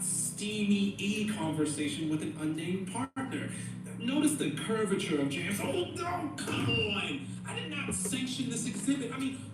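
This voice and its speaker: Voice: medium pitch, close to an everyday voice. An actor as a flustered young artist, very echoey. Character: young internet-famous male artist, flustered, defensive, in a surreal dream. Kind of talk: audio play acting. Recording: echoey, far from mic, loud music.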